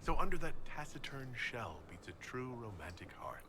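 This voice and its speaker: Lively, gallant hero over noisy audio. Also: male voice, mid-range voice, normal speed. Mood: flirtatious. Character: confident, gallant male hero, gently teasing suitor. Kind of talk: dramatic video game dialogue.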